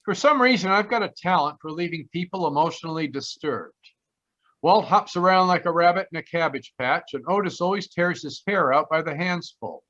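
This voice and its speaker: Man playing a bright-voiced wry radio detective, lo-fi. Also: on the high side, brisk. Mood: wry narrating. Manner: deadpan, wisecracking. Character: wry, blunt male private detective, narrating and questioning. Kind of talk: 1940s radio detective acting. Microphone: video call. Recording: dull lo-fi video call audio.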